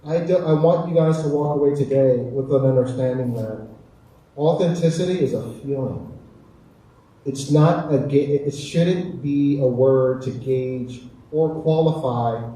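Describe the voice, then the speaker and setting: A man with an American accent speaking reflectively on a panel as a chef, earnest and deliberate, repeating phrases for emphasis. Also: mid-range voice, dark tone. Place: echoey indoor community event space. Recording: echoey hall, distant mic, faint noise.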